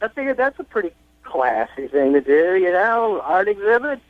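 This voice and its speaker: A man's voice: high-pitched, big pitch swings, moderate pace, no room sound. A man phoning in to a radio show, chatting casually and rambling through his story in a self-deprecating way. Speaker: radio show caller seeking dating advice. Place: phone call to radio show. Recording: dull phone-in line on radio.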